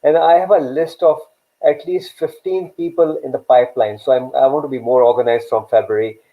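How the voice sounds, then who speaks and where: A man whose first language is Urdu hosts a live online chat, circling back and repeating words as he works out his point. His voice sits at an ordinary middle pitch. The sound comes through a narrow, tinny line, as if over a phone. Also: fairly even intonation, fairly quick. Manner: rambling, repeats words while thinking. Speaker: host. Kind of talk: live online talk show.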